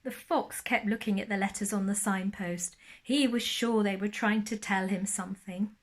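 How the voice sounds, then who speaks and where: Female storyteller, mid-pitched, expressive primary teacher reading a bedtime tale to young children. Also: normal speed.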